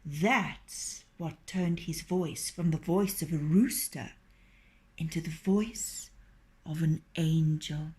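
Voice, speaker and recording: A woman reading a children's story aloud as storyteller, in an expressive, unhurried way. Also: low voice.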